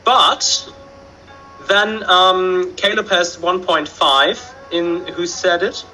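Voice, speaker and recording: A man's voice: high voice, steady tone, slow, sharp tone, soft background music, low-quality recording. A man reading trivia questions and answers aloud on a casual online show, hesitant with frequent fillers. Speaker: question reader.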